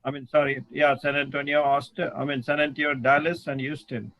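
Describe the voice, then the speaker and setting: A man introducing himself on a video call, speaking haltingly with many restarts. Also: a little high, level tone, fairly bright tone, no room sound, rough recording. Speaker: real estate investor.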